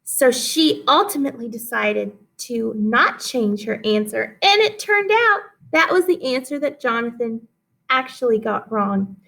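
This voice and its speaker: A woman's voice: a little high, expressive, measured pace, vocal fry, fairly bright tone, poor audio. A woman teacher leading a read-aloud lesson for children, explaining and guiding listeners in an instructive way. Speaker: teacher reading poems aloud for pupils.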